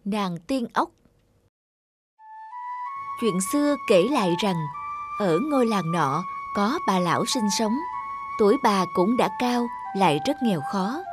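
A woman's voice: mid-range voice, lively intonation, measured pace, pauses often. A woman narrating an old folk tale in a scripted storytelling style. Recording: music under the voice.

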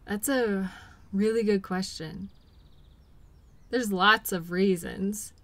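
Woman acting as a sweet patient girlfriend in roleplay audio, gentle n reassuring, slow talker, close dry sound. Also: mid-range voice, no echo. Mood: calm, thoughtful. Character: caring, patient girlfriend soothing an upset partner. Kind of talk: intimate role-play audio.

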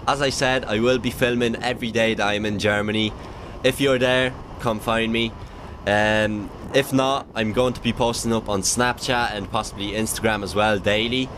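A man's voice: lowish voice, fairly even intonation, moderate pace. A young man chatting casually to his viewers as a BMX vlogger, upbeat and rambling with fillers, while riding a motorbike. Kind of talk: casual vlog chat to viewers. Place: riding a motorbike on open roads. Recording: road and engine noise behind voice.

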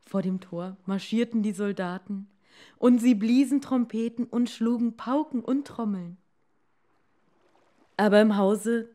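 A woman reading a bedtime fairy tale as a dramatic storyteller, sometimes hushed and deliberate. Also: medium pitch.

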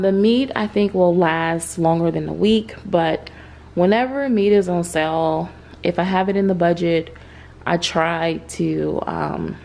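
A woman's voice: fairly low pitch, gravelly, darkish tone. A woman in her thirties chatting casually to her viewers about her groceries at home, with lots of fillers. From United States. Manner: chatty, talks straight to the viewers with many fillers. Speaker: vlogger. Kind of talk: casual grocery haul vlog. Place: bright room at home, indoors.

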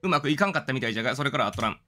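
A man's voice: mid-range voice, animated, very fast, bright, forceful tone, pitched below the performer's own voice. A man voicing a flustered old male scholar from a game, pleading urgently in elderly diction. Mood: rambling.

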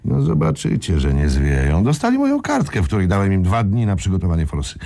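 A scheming gang boss in a radio thriller. His voice is deep, dark and husky, with plenty of gravel. He talks colloquially, cocky and mocking, sure he is in command. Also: huge pitch swings, fast talker, heavily acted. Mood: confident. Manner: colloquial, self-assured. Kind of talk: radio crime drama acting.

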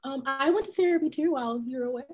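High-voiced woman doing improv comedy. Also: very fast, darkish tone. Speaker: improv performer. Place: small room at home. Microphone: video call.

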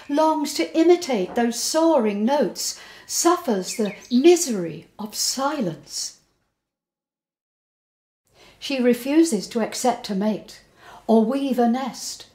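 Slow, theatrical woman reciting poetry, roomy echo. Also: fairly high pitch, darkish tone, a little hiss or hum. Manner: measured, theatrical delivery. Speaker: poet performing her own poem. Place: indoor room before a folding screen. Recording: roomy, echoing indoor sound.

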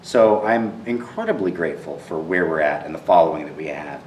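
A man's voice: rumbling low, lively intonation, brisk, a little mellow, a little hiss or hum. A man in his thirties, a theater director, answering interview questions earnestly and often repeating words as he searches for them. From Texas. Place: empty theater auditorium. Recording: roomy, distant sound in a theater.

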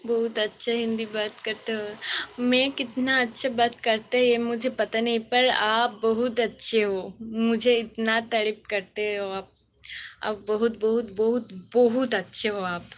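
A young Bengali-accented woman talks slowly and hesitantly on a phone live stream, searching for her words in broken Hindi. Her voice sits a little high. Clear background noise runs under her speech. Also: level tone. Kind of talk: casual live-stream chat with viewers.

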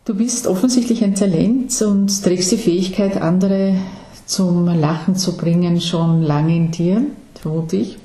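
Mellow-voiced woman hosting an interview. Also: on the low side, steady tone, a little slow. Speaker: talk show host. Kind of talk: interviewing, scripted intro. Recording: echoey room.

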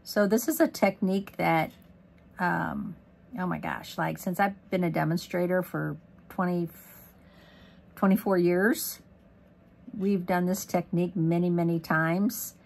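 A woman from Missouri demonstrating a card-making technique at her craft desk, chatty and rambling, with a hoarse voice as she loses it. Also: fairly low pitch, steady tone, measured pace. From Missouri, USA. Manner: chatty, rambling, lots of ums and asides. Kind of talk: casual crafting tutorial.

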